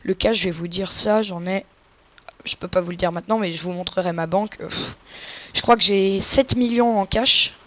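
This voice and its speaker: A woman's voice: medium pitch, moderate pace, a bit bright. A woman with a cold giving casual, crude, joking gameplay commentary and explaining tactics to viewers as a let's play gamer. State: has a cold.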